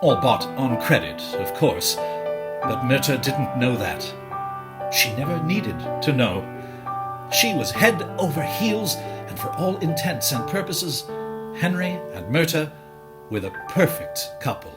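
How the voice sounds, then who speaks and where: Woman as knowing storyteller, lively swooping intonation, arch pauses, scripted drama narration, prominent music underneath. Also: extremely low pitch, heavily acted. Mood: wry, knowing.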